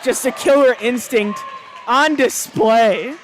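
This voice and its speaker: A man giving chatty, enthusiastic color commentary at a high school volleyball game. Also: slow, some chatter behind, poor audio. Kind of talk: casual sports color commentary.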